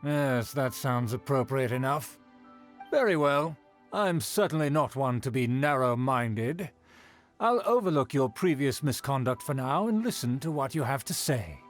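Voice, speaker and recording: A man's voice: mid-range voice, animated, a little slow, soft background music, lightly acted. A man playing a self-important, condescending aristocrat in a video game, speaking with pompous formality. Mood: smug, condescending.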